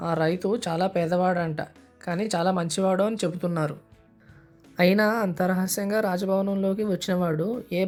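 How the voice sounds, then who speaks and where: A woman's voice: lowish voice, darkish tone, lightly acted. A woman voicing a loyal male royal minister in a cartoon, formal and respectful. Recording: music under the voice.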